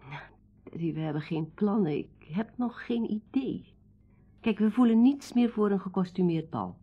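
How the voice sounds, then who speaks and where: A woman's voice: mid-range voice, animated, moderate pace, breathy edge, dull audio. An actress playing a confident, capable woman who helps servants, in a Dutch radio drama. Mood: lively.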